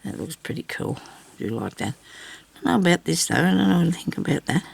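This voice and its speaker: An older Australian woman with a low, husky voice roughened by a lingering cough, chatting casually and self-deprecatingly as she rambles through a craft video. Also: very animated, fairly quick, darkish tone, no echo. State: lingering cough after weeks of sickness. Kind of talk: casual chat while hand stitching.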